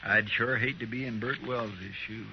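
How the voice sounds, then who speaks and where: An actor playing an earnest, folksy, respectful deputy in a 1950s radio western. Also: male voice, medium pitch, fairly even intonation, normal speed, natural voice. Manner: folksy, deferential. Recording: dull, noisy old radio recording.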